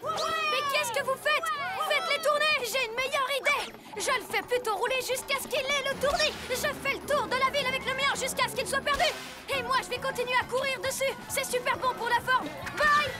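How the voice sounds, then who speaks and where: A performer voicing a headstrong six-year-old boy superhero in an animated children's cartoon dub, eager, bossy and exclamatory. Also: very high-pitched, a little fast. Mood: confident. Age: child character, about six. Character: heroic, headstrong young boy superhero in cat costume. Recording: loud music behind the voice. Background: loud cartoon score.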